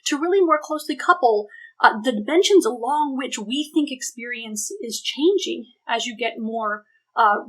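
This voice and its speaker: A woman's voice: a little high, a bit bright, low-quality recording. A woman researcher explaining her ideas in an academic talk, emphatic and thinking aloud as she goes. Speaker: academic researcher, panel presenter. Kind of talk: academic presentation and question answering.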